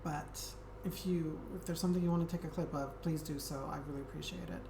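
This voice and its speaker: A woman hosting a livestream, talking casually to her viewers in a soft, friendly way and often pausing on an um. Her voice sits low. It echoes around the room over a steady background noise. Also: gravelly. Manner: soft, friendly, hesitant with many ums. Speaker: Twitch streamer.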